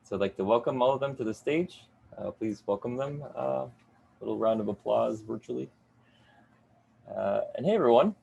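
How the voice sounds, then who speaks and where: A man's voice: medium pitch, lively intonation, normal speed, creaky, husky, muffled recording. A middle-aged man moderating an online panel from a home basement over a video call, upbeat with many "uh" fillers. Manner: upbeat, warm in addressing guests, frequent "uh" fillers.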